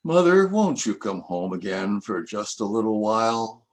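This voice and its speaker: An older man reading his own rhyming poems aloud in a measured, reflective recitation. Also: lowish voice, sing-song. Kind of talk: poetry reading.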